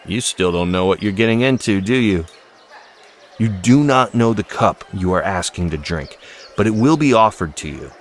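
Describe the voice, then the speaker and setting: Solemn, low-voiced king and teacher acted by a man. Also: animated, moderate pace, lightly acted. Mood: grave warning. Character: solemn, knowing male king and spiritual teacher. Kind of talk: acted audiobook dialogue. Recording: music behind the voice.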